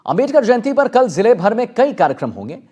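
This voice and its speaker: A man's voice: high voice, big pitch swings, a little fast, dark tone, husky, dull audio. A man presenting live television news as an anchor.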